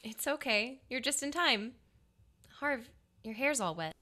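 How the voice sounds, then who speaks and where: An actress playing a gentle, wistful wife in a radio noir drama, a little hesitant. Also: female voice, fairly high pitch, expressive, measured pace, noisy background. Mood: fond.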